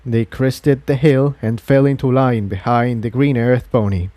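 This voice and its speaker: A man's voice: medium pitch, fairly even intonation, measured pace, dark tone, no echo. A man reading a story aloud as a scripted narrator. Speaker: fan fiction narrator.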